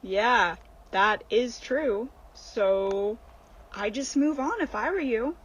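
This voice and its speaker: A woman playing a sly, bossy seductress spirit in a radio horror drama, coaxing her friend with drawn-out, emphatic words. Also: on the high side, expressive, husky, exaggerated voice.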